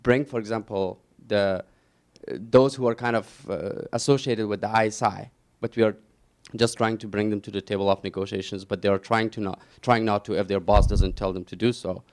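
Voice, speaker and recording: A man's voice: lowish voice, steady tone, fairly quick. A man in the audience at a panel, talking at length with many fillers such as "kind of, like". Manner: rambling, heavy filler words. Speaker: audience member.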